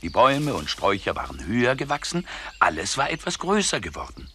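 A man's voice: lowish voice, wildly swooping pitch, fairly quick, pushed and bright, slight background noise. A man narrating a scripted children's story as the storyteller.

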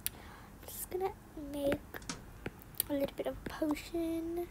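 A woman playing a sulky, sneaky little girl, high-pitched. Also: steady tone, takes it slowly. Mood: scheming, sulky. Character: resentful, sneaky young girl plotting against babysitter. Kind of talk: improvised game roleplay storytelling. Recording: noisy home recording with clicks.

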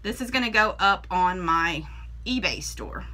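A woman's voice: fairly low pitch, animated, measured pace, sharp tone, slight background noise. A woman, casually showing off flea market finds in a homey, chatty way with frequent ums, recorded on a camera mic in a small room. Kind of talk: casual show-and-tell vlog. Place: small room indoors with shelves.

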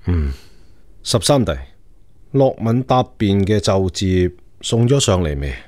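A stern Chinese emperor in a radio drama. His voice is very low and dryly recorded, and he speaks with measured, commanding authority. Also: big pitch swings, a little mellow, no echo, clean studio sound, heavily acted. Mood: brooding. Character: Qing emperor, suspicious, stern, commanding ruler. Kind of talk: Cantonese radio drama acting.

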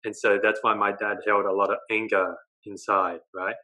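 Animated young Australian man on video call. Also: fairly low pitch, normal speed. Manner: animated storytelling, gestures a lot, tags lines with "right?". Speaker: guest, dating coach. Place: living room at home.

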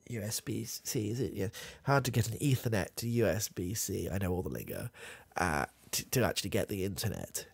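A man's voice: on the low side, lively intonation, fairly quick, creaky, hardly any pauses, breathy edge, no echo, heavily acted. A young man with a British accent playing a muddled, proud old grandad who can't handle technology, rambling and hesitant in a close-miked comedy ASMR roleplay. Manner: rambling, hesitant, full of fillers and restarts. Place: small dim room with coloured lights. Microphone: close mic, face near camera.

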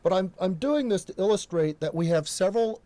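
A man's voice: high voice, animated, brisk, mellow, dry recording. A middle-aged American man, a geologist guest, explaining earthquakes in a local TV studio with earnest restarts and self-deprecating asides. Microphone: desk mic.

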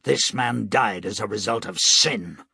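A man doing a grim, low-voiced gunman. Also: a little slow, vocal fry, bright, forceful tone, breathy edge, no room sound, studio quality, full character voice. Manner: stern, commanding.

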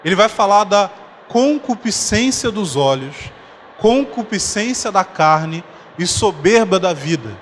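High-voiced man preaching in a reverberant hall. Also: lively intonation. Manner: conversational, explains slowly with humorous asides. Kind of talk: conference sermon. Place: dark church stage. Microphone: handheld mic. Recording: long hall reverb, faint noise.

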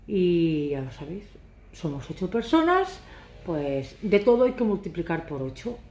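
Woman vlogger, 30s, hoarse, rambling, mellow low voice, phone at arm's length, echoey living room. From Catalonia. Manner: chatty, ironic, rambling. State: hoarse from shouting. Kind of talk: casual chat to camera. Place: living room sofa, indoors. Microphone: phone camera at arm's length.

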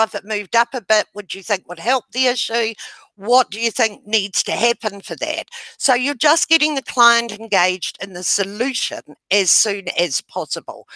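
A middle-aged woman from New Zealand giving a webinar over a video call with a headset, explaining a method in a loud, gesturing way. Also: mid-range voice, dry recording, rough recording. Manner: loud voice, gestures while talking. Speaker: webinar presenter. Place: home room, indoors.

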